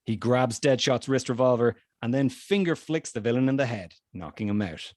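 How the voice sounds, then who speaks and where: A man with a medium-pitched voice narrates a screenplay. He reads out stage directions and action as scripted narration, with a dry, close sound. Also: moderate pace, a little mellow, no echo. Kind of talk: scripted narration at a table read.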